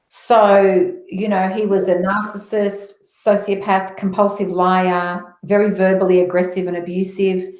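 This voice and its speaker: A middle-aged woman with an Australian accent giving personal spiritual testimony as an interview guest, telling it earnestly and with emphasis. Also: lowish voice. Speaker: interview guest, motivational speaker. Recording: roomy echo on voice.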